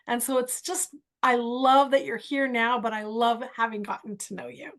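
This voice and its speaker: A woman hosting a podcast interview, effusive and encouraging, with a slow, emphatic delivery. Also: fairly high pitch, little pitch movement. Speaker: podcast host and mentor.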